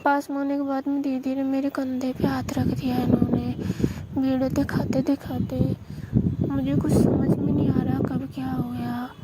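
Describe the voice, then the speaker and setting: High-voiced young woman on a hissy call. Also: flat delivery, normal speed. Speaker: caller. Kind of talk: phone call, recounting a story. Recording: phone call recording with hiss.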